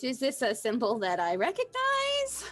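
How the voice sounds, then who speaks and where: A woman with a highish, very lively voice plays a tabletop roleplaying game. She speaks casually and takes her time over her words. Music runs behind her. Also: unhurried. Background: background music.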